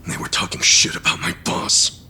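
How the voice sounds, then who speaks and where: A man playing a loyal, hot-headed yakuza ex-convict in a video game drama, with emotional, rough street talk. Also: moderate pace, bright, forceful tone, whispered. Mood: sullen, defensive.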